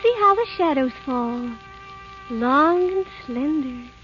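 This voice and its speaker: A woman voicing a sweet, timid young princess in an old animated film, gentle and dainty. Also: sits high, slow. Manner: gentle, dainty, sing-song. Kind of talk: 1930s animated film acting. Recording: dull vintage film soundtrack with music.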